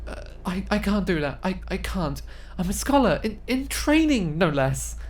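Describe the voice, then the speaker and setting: A woman's voice: mid-range voice, big pitch swings, moderate pace, voice pushed up, lightly acted. A woman playing an anxious young trainee scholar in a fantasy audio drama, stammering, hesitant and apologetic. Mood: flustered, alarmed.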